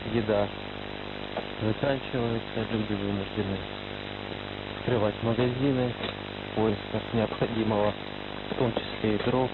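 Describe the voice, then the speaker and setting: A man's voice: on the low side, gravelly. A man giving a spoken eyewitness account as a local resident. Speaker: local witness reporting on his city. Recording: dull shortwave relay, very loud noise.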